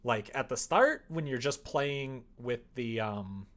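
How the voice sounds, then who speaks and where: A man's voice: medium pitch, lively intonation, takes it slowly, a bit bright. A man streaming live, chatting casually with viewers and rambling as he thinks aloud.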